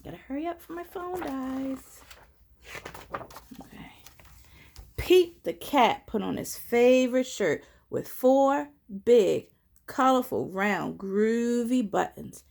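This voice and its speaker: A woman teacher reads a bedtime story to young children in a slightly high voice with heavy creak. Her delivery is slow and expressive. She puts simple questions to her little listeners in a coaxing way. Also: a little hiss or hum.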